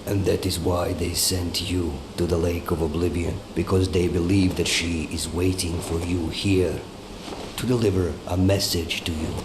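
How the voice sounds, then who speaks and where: Very deep, echoing ancient guardian spirit acted by a man. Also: fairly even intonation, moderate pace, lightly acted. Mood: stern, solemn. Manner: stern, questioning, weighty. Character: ancient male guardian spirit of a lake, solemn, imposing. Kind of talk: dramatic video game acting. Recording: echoing voice over clear background noise.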